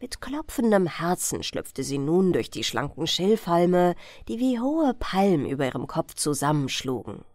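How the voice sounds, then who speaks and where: A woman reading a fairy tale as a bedtime audiobook, in an expressive, measured storytelling manner. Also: on the low side, no echo, studio quality. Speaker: audiobook narrator.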